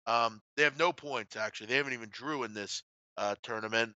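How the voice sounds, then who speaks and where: Bright-voiced man talking sports betting. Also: mid-range voice, fairly quick, dry recording, muffled recording. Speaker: host of a sports betting show. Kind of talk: casual betting tips discussion.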